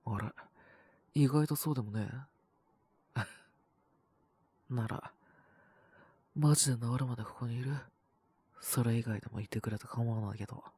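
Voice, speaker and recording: A man voicing a cocky, possessive young wolf-boy boyfriend with a cold, in an intimate audio roleplay, speaking bluntly and teasingly in a breathy, husky voice. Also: mid-range voice, steady tone, normal speed, pauses often, a little mellow, no echo, natural voice. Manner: blunt, rough casual speech, teasing, languid. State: sick with a cold and cough. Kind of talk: Japanese situation-voice roleplay aimed at the listener.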